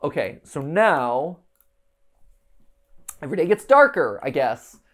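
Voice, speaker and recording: A man's voice: fairly high pitch, very animated, takes it slowly, a bit bright. A man in his thirties hosting a casual livestream, reading aloud and muttering half-finished thoughts as he works through a puzzle. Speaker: livestream host. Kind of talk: casual live puzzle-solving commentary, thinking aloud. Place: indoor room, livestream set.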